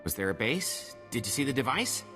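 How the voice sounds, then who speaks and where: An actor playing an anxious, frightened corporate whistleblower in a video game. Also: male voice, medium pitch, sing-song, fairly quick, music in the background, lightly acted.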